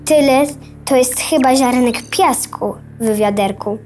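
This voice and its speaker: A high-voiced, curious little girl. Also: a little slow, darkish tone, faint music behind. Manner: childlike, direct, questioning. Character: curious, frank young girl lost inside a computer. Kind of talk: children's audio drama.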